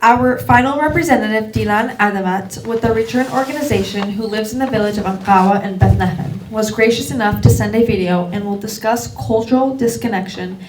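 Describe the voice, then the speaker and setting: A woman's voice: fairly high pitch, brisk, hardly any pauses. A woman hosting an event, reading a formal scripted introduction of the speakers. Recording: echoey room, faint background noise.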